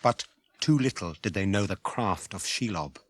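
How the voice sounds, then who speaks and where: An English actor as a grave storyteller, delivering portentous scripted narration of an epic fantasy for 1980s radio. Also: male voice, sits low, expressive, normal speed, noisy background. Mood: grave, ominous.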